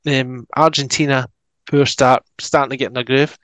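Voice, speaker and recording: A man's voice: mid-range voice, steady tone, normal speed, fairly bright tone, dry recording. A man hosting a casual football podcast, reading out listener messages with lots of ums. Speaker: podcast host.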